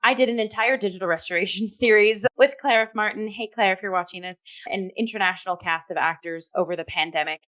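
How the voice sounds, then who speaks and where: A woman co-hosting a theatre review show, talking casually in an enthusiastic, expressive way. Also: medium pitch, fast talker, hardly any pauses, poor audio. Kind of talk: casual chatty review talk.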